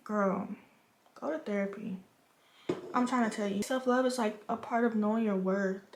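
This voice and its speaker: A woman's voice: fairly high pitch, reverberant. A woman in her twenties from the Virgin Islands, chatting frankly like a big sister while doing her makeup at a home vanity. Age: 20s. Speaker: vlogger.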